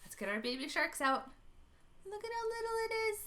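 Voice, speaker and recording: A woman hosting an animated storytime for preschoolers, expressive and often putting questions to her young listeners. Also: extremely high pitch, brisk. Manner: expressive, addresses young children with questions and gasps. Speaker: children's librarian hosting storytime.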